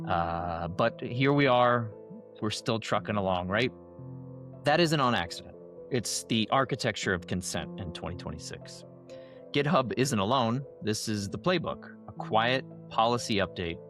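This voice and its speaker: A man hosting a podcast, delivering a scripted monologue while sick and losing his voice, measured and emphatic. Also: lowish voice, a bit bright. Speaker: podcast host.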